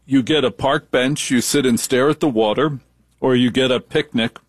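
A man's voice: mid-range voice, normal speed, no room sound. An older man hosting a late-night radio talk show, bantering in a wry, joking way.

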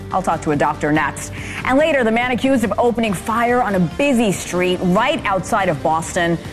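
A woman news anchor interviewing a guest and introducing upcoming stories with crisp, measured delivery. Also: mid-range voice.

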